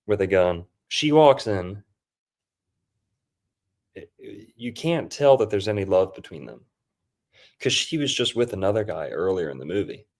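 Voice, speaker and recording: A man hosting a podcast, casually breaking down a movie in a loose, rambling way with lots of fillers. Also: deep voice. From Florida. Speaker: podcast host.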